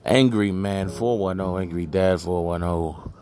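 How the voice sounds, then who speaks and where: A man with a deep voice hosting a podcast, giving blunt, emphatic opinions in casual, colloquial speech. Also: unhurried. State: just woke from a nap. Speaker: podcast host. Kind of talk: casual solo opinion commentary.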